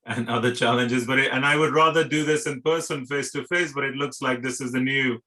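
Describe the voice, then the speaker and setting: A man hosts an interview over a video call with a bright, forceful voice. He talks in a loose, friendly way, padding his questions with filler phrases. His pitch sits in the middle range. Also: steady tone, a little fast. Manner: chatty, hedging with "sort of" and "you know". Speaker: interview host, association chairman.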